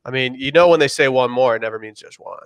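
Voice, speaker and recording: A man's voice: medium pitch, fast, fairly bright tone, dry recording. A young man hosting a casual podcast over a video call from his home, laid-back and prone to stammered restarts. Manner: laid-back, repeats words when starting a thought. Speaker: podcast host. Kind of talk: casual chat. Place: home room, indoors.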